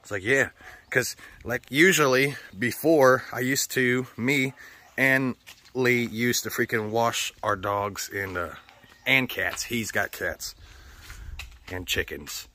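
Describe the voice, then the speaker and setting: A man's voice: mid-range voice, slow, pushed and bright. An American man with a slightly sore throat, rambling casually and swearing often as he chats for a vlog. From United States. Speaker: vlogger. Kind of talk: casual chat.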